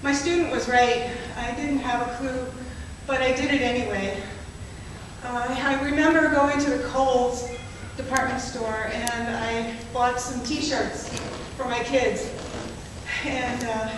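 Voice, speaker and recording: Woman doing a professor remembering, high-pitched, long hall reverb, noisy. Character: woman professor who wore a headscarf. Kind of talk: spoken-word poetry performance. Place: performance venue indoors. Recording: echoey hall from far off, noisy.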